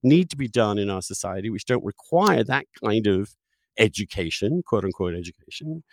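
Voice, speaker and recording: A man's voice: fairly low pitch, big pitch swings, moderate pace, creaky, no echo. A man hosting an interview in a conversational, discursive style. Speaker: podcast host, interviewer.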